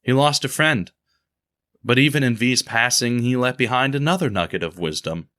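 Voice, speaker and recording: A man narrating a radio drama in a measured, somber storytelling voice. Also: mid-range voice, lively intonation, pushed and bright. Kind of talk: scripted narration.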